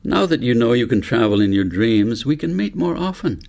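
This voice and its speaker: A man voicing a wise, patient old grandfather in a storybook, explaining things in a measured, instructive way. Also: medium pitch, very animated, creaky, a little mellow. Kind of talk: storybook reading.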